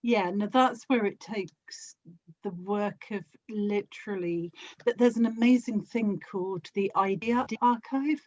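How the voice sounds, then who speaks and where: A woman's voice: medium pitch, unhurried, a little mellow, dull audio. A woman chatting casually and expressively as an interview guest, with an enthusiastic manner. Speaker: guest, audio drama actor and producer.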